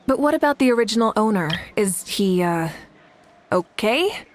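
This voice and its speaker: A woman's voice: on the high side, a little hiss or hum, natural voice. An actress playing a composed female fire goddess. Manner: calm, polite, measured. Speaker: leader. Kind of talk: video game cutscene dialogue. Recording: game audio with faint music.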